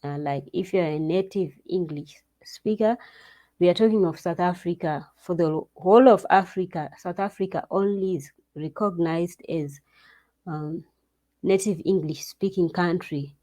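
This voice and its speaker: A woman's voice: lowish voice, measured pace, warm tone. A woman vlogger giving job-hunting advice to viewers in a loose, casual way, with restarts and asides. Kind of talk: casual advice talk to camera. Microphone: screen-share recording.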